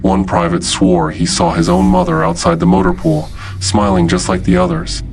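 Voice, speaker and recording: A man playing a grave military announcer, reading scripted horror narration as an emergency broadcast in a solemn, deliberate, ominous way. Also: fairly high pitch, sing-song, background noise. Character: grave male military emergency announcer warning of uncanny threat. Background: music.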